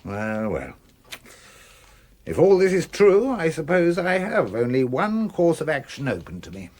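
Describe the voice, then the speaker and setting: A man playing a sober, cautious police detective in a British radio drama, speaking in a plain, deliberate way. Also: fairly high pitch, huge pitch swings, darkish tone, full character voice. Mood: grave, resigned.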